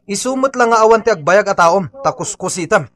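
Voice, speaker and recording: A man's voice: sits high, lively intonation, fairly quick, fairly bright tone. An actor in a radio drama playing a stubborn labourer who confrontationally demands his unpaid wages. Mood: angry, accusing. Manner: insistent, confrontational.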